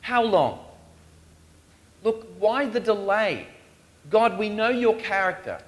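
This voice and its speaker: Male preacher in a church, earnest and pleading, voice a bit on the high side. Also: big pitch swings, takes it slowly. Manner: earnest, rising to pleading questions. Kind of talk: sermon. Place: church in Australia.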